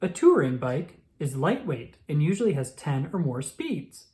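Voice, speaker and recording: A narrator reading a children's picture book aloud in a clearly enunciated, instructional storytelling manner. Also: medium pitch, very animated, unhurried, mellow.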